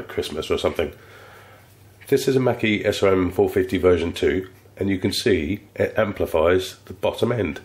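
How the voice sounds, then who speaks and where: A man with a stuffy head cold, explaining an amplifier repair in a relaxed, rambling workshop tutorial. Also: low voice. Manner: relaxed, rambling, restarts phrases. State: congested from a cold. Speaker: electronics repair technician. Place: electronics workbench, indoors.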